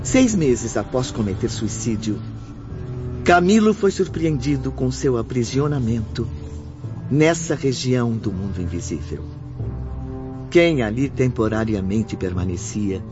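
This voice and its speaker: Um homem narrando uma radionovela em tom solene e dramático, com texto roteirizado. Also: male voice, medium pitch. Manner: solemn, measured. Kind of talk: scripted dramatic radio drama narration. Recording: dull lo-fi audio, music behind.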